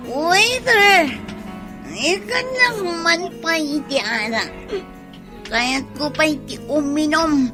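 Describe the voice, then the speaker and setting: Drunk young wife, slow n wailing, defiant, radio drama w music behind. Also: female voice, high voice. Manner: loud, emotional, melodramatic. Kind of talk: Ilocano radio drama acting.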